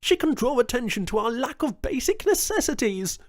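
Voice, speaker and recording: A man's voice: mid-range voice, fairly even intonation, measured pace, no room sound, studio quality, natural voice. A man voicing a furious, commanding female colony governor who leads pirates. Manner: forceful, commanding.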